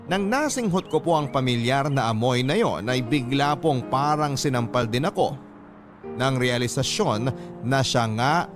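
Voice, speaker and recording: A man's voice: medium pitch, lively intonation, a little slow. Guy radio host reading out a letter dramatically, mid voice, music going behind him. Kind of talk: scripted narration of a letter. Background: background music.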